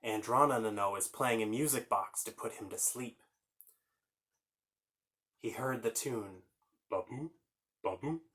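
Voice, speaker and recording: A man's voice: lowish voice, measured pace, long pauses, bright, forceful tone. A man hosting a children's story time, reading aloud and chatting informally, explaining things and putting questions to the listeners.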